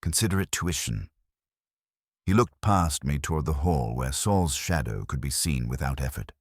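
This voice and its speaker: A man narrating a detective story in the first person, with a measured, dry, literary delivery. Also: a bass voice, animated, no room sound. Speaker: audiobook narrator.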